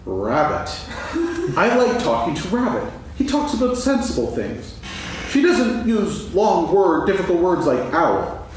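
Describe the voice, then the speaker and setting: A man playing a gentle, absent-minded, food-loving toy bear in a staged children's story reading, musing aloud in a singsong way. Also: high voice, very animated, unhurried. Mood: dreamy musing. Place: stage, actors seated, live audience recording. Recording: echoey hall from far off, faint noise.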